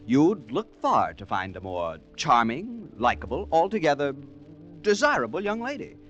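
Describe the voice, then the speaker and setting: An actor playing a prim, eager-to-please store clerk, fussy and hesitant, narrating and acting in 1940s radio drama style. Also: male voice, a little high, wildly swooping pitch, normal speed, fairly bright tone, muffled recording, faint music behind. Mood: chatty, smitten.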